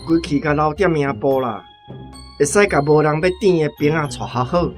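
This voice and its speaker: An actor with a Taiwanese accent playing a gruff, blunt army battalion commander in a radio drama. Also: male voice, a little high, normal speed, faint music behind, close to an everyday voice. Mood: decisive.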